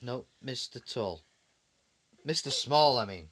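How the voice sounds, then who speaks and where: A man's voice: mid-range voice, lively intonation, slow, a bit bright. A man reading a bedtime story to his young child in a conversational way, with questioning asides and dramatic repetition.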